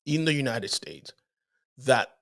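A man's voice: mid-range voice, expressive, a little slow, creaky, a bit bright. A man from the Dominican Republic co-hosting a podcast, chatting casually and often repeating words as he starts a thought. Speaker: podcast co-host. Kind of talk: casual conversation.

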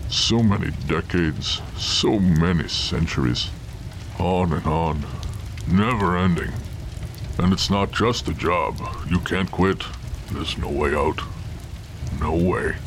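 A man playing a bitter, weary, centuries-old Santa Claus with a slow, taunting delivery in an audio drama. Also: sits low, slight background noise. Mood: despairing, weary. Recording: music and rain under the voice.

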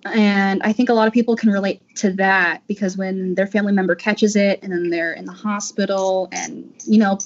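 A woman's voice: medium pitch, steady tone, moderate pace, few pauses, highs cut off. A woman, a voice actor, talking reflectively and conversationally in a casual panel discussion. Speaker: voice actor discussing an audio drama.

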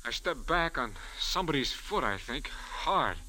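An actor playing a dazed, plain-spoken bar employee in a 1940s radio drama. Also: male voice, medium pitch, sing-song, a little slow, bright, forceful tone, exaggerated voice, rough recording. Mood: groggy.

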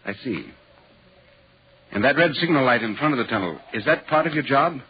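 A polite, inquisitive reporter played by a male actor tells an eerie story in 1940s radio style. His delivery is dramatic and animated in pitch, over a hissy old recording. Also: a little fast, lo-fi sound, lightly acted. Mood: curious, polite. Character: inquisitive, polite London newspaper reporter recounting an eerie tale.

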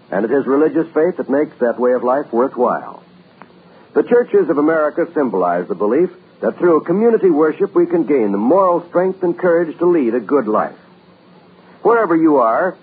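A male announcer reading a solemn public service message with earnest, measured delivery. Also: fairly high pitch, husky. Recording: tinny lo-fi old radio broadcast.

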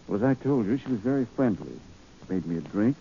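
An actor playing a courteous, guarded politician with a polite, measured manner in a 1940s radio style, on a muffled, hissy old recording. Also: male voice, lowish voice, sing-song, warm tone. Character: smooth, courteous, guarded male politician with a secret.

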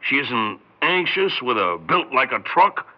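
An actor playing a down-to-earth, skeptical friend in an American 1960s radio drama, plainspoken and reassuring. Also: male voice, medium pitch, very animated, measured pace. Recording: dull 1960s radio recording.

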